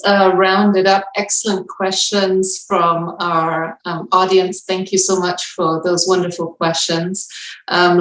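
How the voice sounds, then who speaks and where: Woman moderator, unhurried delivery in an echoey room. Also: fairly low pitch. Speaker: panel host. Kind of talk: moderating a livestream panel.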